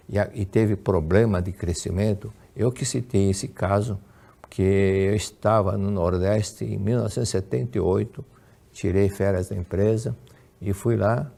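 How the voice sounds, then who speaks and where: A man's voice: lowish voice, creaky, mellow. An older man with a Brazilian Portuguese accent, giving a religious lesson, telling stories and answering questions, often repeating and restarting words. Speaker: religious lecturer. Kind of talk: religious teaching with Q&A.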